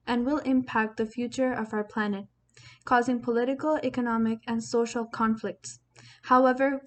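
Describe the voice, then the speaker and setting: Young female student from the UAE, fairly high voice, careful scripted delivery with list-like phrasing. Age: young adult. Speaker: student speaker. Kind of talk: scripted video statement.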